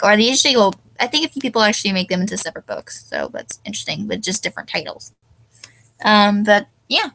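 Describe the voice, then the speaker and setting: A woman's voice: mid-range voice, a bit bright, low-quality recording. A young woman with a stuffy, tired voice, rambling casually as a fanfiction reviewer and often trailing off with ums. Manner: hesitant, many ums, loses her train of thought.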